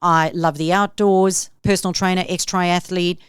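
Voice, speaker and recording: A woman's voice: lowish voice, steady tone, moderate pace, smooth, dry recording, studio quality. A middle-aged woman with a South African accent, hosting a solo podcast in an upbeat, motivational tone. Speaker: podcast host.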